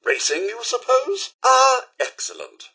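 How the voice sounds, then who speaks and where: An actor playing a polite, fussy, sardonic robot butler in a video game, with a British accent and a prim, theatrical, dryly sarcastic manner. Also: male voice, very high voice, wildly swooping pitch, measured pace, sharp tone, slightly rough, exaggerated voice. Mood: eager, courteous. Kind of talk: scripted game companion dialogue.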